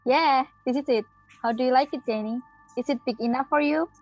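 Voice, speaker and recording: A woman's voice: sits high, a little fast, no echo. A woman playing a friendly, mysterious head chef in a readers theater drama, speaking like a mentor. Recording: muffled audio with background music.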